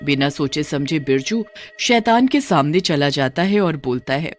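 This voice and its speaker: A man reads scripted narration for a cartoon folk tale. His voice sits a little high and moves with very animated intonation, with music behind it. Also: unhurried.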